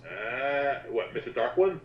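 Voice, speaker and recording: A man's voice: mid-range voice, big pitch swings, a little slow. A man joining as a guest commentator, chatting casually and riffing with sarcastic jokes. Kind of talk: casual joking chat. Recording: dull, roomy, slightly noisy voice-chat audio.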